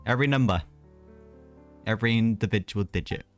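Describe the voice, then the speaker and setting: A man's voice: on the low side, brisk, soft background music. A man giving casual live commentary on a game, chatty and easygoing as he reacts aloud.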